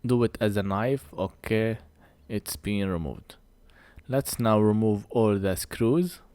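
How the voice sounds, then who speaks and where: A man who speaks English as a second language gives a hands-on repair tutorial in halting short phrases, a little short of breath. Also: fairly low pitch, warm tone, no room sound. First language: not English. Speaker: phone repair technician presenting a tutorial.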